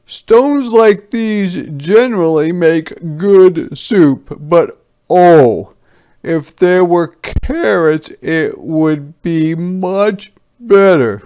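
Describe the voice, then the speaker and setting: A man voicing crafty, cajoling soldiers from a children's storybook, in a coaxing, emphatic, drawn-out way. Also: high-pitched, animated, mellow, clean tone, voice pushed up, full character voice. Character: crafty, cajoling soldiers angling for soup ingredients.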